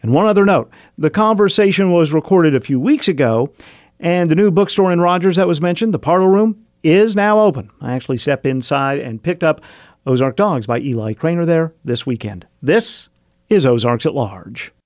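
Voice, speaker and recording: A man's voice: on the high side, animated, moderate pace, darkish tone, no echo, studio quality. A man hosting a radio show, delivering scripted narration. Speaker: radio host and reporter.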